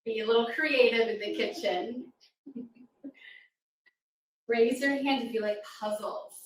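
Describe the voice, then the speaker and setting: A woman's voice: fairly high pitch, a little slow. Echoey American woman presenting to children. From New York City suburbs, United States. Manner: teacherly, checking in with "right?". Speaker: children's author and former teacher. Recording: echoey room, distant mic, faint noise.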